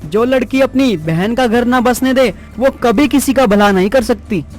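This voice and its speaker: A man's voice: very high-pitched, normal speed, a little mellow, faint music behind. A man playing a blunt, lovestruck young suitor in a cartoon story, dramatic in delivery. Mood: reproachful.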